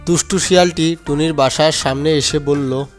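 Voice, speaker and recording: A man's voice: a little high, fairly even intonation, normal speed, soft background music. A man narrating a scripted children's animal story.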